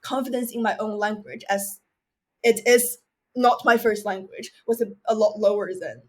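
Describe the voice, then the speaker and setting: A woman's voice: mid-range voice, fairly even intonation, normal speed, a little mellow. A young woman from mainland China, a non-native English speaker, giving earnest, explanatory advice as a podcast guest and stressing points by repeating them. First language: Chinese. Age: young adult. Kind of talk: reflective interview talk with advice.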